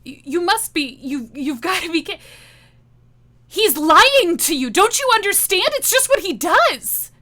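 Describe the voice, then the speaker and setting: A woman plays a bitter, betrayed mother in a tabletop drama. Her voice is high and tense, with halting, accusing speech. She sounds desperate and emotional. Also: big pitch swings, sharp tone, exaggerated voice.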